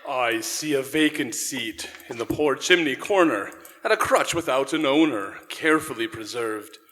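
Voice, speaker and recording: A stern male spirit of Christmas played by a man on stage in a radio play. The voice is forceful and bright, solemn and oratorical, with grand righteous reproach. It rings out in a long church-hall reverb. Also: mid-range voice, expressive, moderate pace, lightly acted. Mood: grave, foreboding. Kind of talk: live radio-play acting of a Victorian ghost story.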